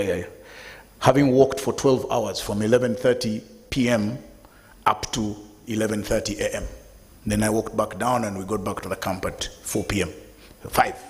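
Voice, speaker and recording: A man in his fifties giving a lively, conversational talk to a live audience at an event, speaking to them directly. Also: fairly low pitch. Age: 50s. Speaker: guest speaker. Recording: long hall reverb.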